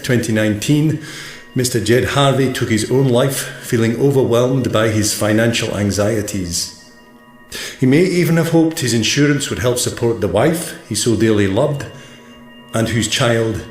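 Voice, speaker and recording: A man's voice: mid-range voice, moderate pace, close to an everyday voice. A man playing a solemn, sympathetic British coroner in a radio drama, speaking formally and measuredly. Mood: solemn, compassionate. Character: solemn, sympathetic male coroner delivering a verdict. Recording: echoey room under music.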